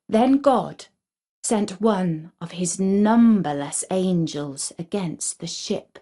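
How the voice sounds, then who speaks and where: A woman reading a scripted fairy tale for children in a measured, deliberate storybook manner. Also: medium pitch, darkish tone.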